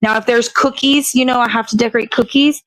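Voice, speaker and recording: A woman's voice: on the high side, fairly even intonation, brisk, a bit bright, no room sound, rough recording. Chatty woman host, dry close sound. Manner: rambling, self-correcting, chatty. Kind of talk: casual chat while crafting.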